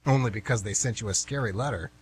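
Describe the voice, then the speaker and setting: A man playing an indignant, civic-minded older gentleman on jury duty in an amateur radio play, with a lecturing manner. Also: mid-range voice, fast, lightly acted. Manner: lecturing, righteous. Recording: amateur radio, faint background hum.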